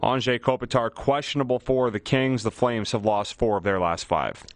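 A male radio co-host with a medium-pitched voice. He runs through sports news in a dry studio sound. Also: fairly even intonation, normal speed, no room sound.

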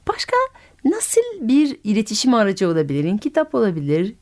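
A woman's voice: medium pitch, big pitch swings, brisk, darkish tone. A woman from France, speaking in a language that is not her first, hosts a radio conversation. Her voice rises and falls a great deal. She asks probing questions and often completes her guest's thoughts. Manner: curious, questioning, finishes the guest's sentences. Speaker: radio host and storyteller. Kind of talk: radio interview.

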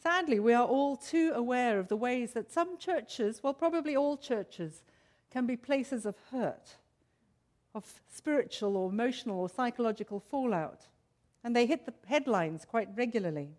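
A woman with a South African accent preaches to her congregation. She sets out scripture in a measured, earnest way, and her voice sits a little on the high side. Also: expressive, darkish tone. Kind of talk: sermon.

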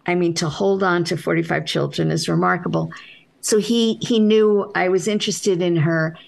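A woman author with a soft voice, answering interview questions in an unhurried, reflective way with halting restarts. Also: lowish voice, steady tone, vocal fry.

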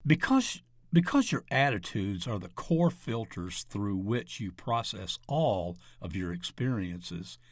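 A man narrating a scripted question-and-answer article, reading deliberately and announcing each part as an article reader. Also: on the low side, very animated, dry recording, clean studio sound.

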